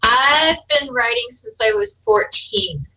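A woman's voice: a little high, expressive, slow, sharp tone, distant mic, low-quality recording. A young adult woman whose first language was German, chatting casually as an interview guest about her writing and art, laughing often and restarting her sentences. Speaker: author and artist, interview guest.

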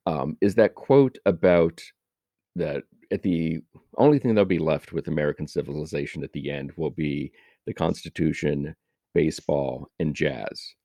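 Male interviewer, deep and mellow, informal conversational delivery, dry close sound. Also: normal speed, no echo. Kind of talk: casual conversational questioning.

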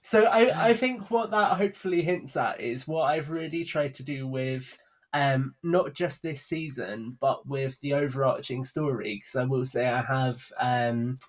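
Conversational man explaining his creative work. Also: medium pitch. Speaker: podcast guest, audio drama writer and producer. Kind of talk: casual interview chat.

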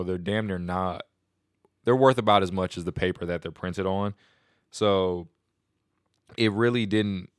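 A man hosting a casual solo podcast, excited and rambling, a little nasal and sniffly from a cold. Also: deep voice, clean tone, dry recording, studio quality. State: congested from a stomach bug. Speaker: podcast host.

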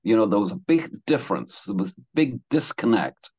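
A man's voice: fairly low pitch, big pitch swings, fairly quick, a little mellow, husky, no room sound. A man in his seventies from England, telling his life story as a guest in a casual interview, rambling with restarts and laughing between thoughts. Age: 70s.

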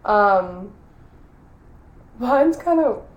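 Young woman, podcast co-host, blunt and opinionated, slightly hoarse, echoey room. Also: medium pitch, expressive, very slow, dull audio. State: losing her voice. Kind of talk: casual chat.

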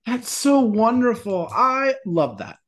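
A woman's voice: fairly high pitch, sing-song, takes it slowly. A woman hosting a theater podcast, enthusiastic and encouraging as she asks her guests questions. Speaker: podcast host. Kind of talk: podcast interview.

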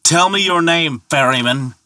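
A man voicing a booming, hot-tempered and credulous thunder god in a mythological tale, bellowing and boasting indignantly. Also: animated, moderate pace, pushed and bright, no room sound, clean studio sound. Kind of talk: dramatic mythological storytelling.

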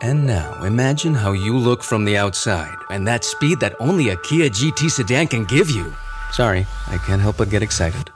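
A man's voice: lowish voice, lively intonation, moderate pace. A man reading a scripted car commercial as a voice-over. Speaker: advertising voice-over. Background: clear music.

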